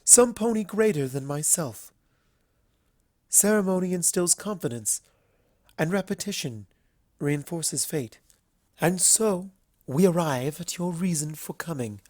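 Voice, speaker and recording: A narrator voicing a refined, earnest female unicorn pony with formal, measured, deliberate speech in a dramatic story reading. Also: medium pitch, lively intonation, darkish tone, no room sound, clean studio sound. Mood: solemn, earnest. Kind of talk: dramatic fan fiction reading.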